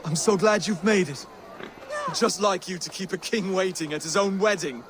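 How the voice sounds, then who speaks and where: A man's voice: sits high, normal speed, a crowd in the background, close to an everyday voice. An actor playing a nervous, earnest young king in a video game drama. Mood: relieved, welcoming. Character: nervous young king on his wedding day.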